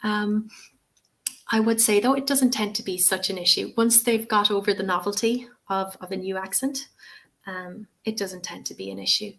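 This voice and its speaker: A woman's voice: medium pitch, fairly even intonation, normal speed, vocal fry, a bit bright. A woman in her forties with an Irish accent, presenting a teacher-training webinar and answering questions in a friendly, chatty way with many fillers. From Ireland. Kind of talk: live webinar Q&A.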